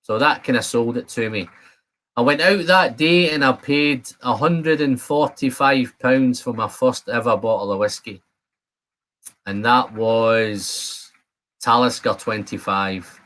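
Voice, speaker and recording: A man's voice: mid-range voice, measured pace, fairly bright tone. A man in his fifties with a Scottish accent, chatting casually to viewers as the host of a live stream. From Scotland. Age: 50s. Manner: chatty, addresses viewers by name.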